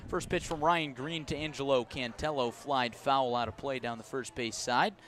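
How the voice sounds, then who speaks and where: An American man doing live play-by-play baseball commentary for radio, measured between pitches and lifting on plays. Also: fairly even intonation, fairly bright tone, a little hiss or hum. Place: outdoor ballpark broadcast.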